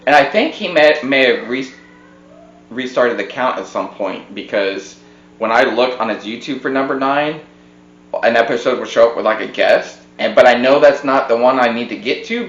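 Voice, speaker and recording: A man's voice: medium pitch, moderate pace, fairly bright tone. A man co-hosting a casual home podcast, talking in an informal conversational way. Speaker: podcast co-host. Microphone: podcast microphone on a tripod. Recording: muffled roomy podcast mic, background hum.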